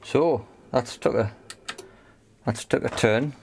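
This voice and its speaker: A man's voice: mid-range voice, takes it slowly, creaky, a little hiss or hum. A man giving casual, unscripted commentary on a hands-on repair, thinking aloud in short phrases. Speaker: host of an electronics repair video.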